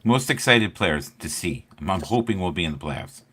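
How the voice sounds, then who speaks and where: A man's voice: deep voice, animated, moderate pace, bright, forceful tone, husky. A man hosting a casual fantasy football chat, playful and quizzing the others.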